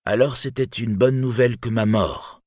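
A man's voice: lowish voice, moderate pace, husky, dry recording, studio quality. A man voicing a proud, wounded warrior hero in a fantasy storybook, declaiming in an imperious, indignant way. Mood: bitter, accusing. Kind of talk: dramatic fantasy storybook reading.

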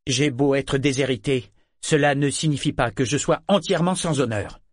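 A man's voice: mid-range voice, moderate pace, no room sound, studio quality, voice pushed up, lightly acted. A man voicing a proud, blunt, bitter sailor in an audiobook. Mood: proud, frank. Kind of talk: audiobook character dialogue.

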